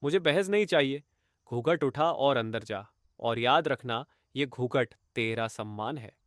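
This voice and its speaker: A man's voice: medium pitch, unhurried, fairly bright tone, no room sound, clean studio sound, lightly acted. A man voicing a cruel, domineering husband in a cartoon story, harsh and commanding. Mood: harsh angry.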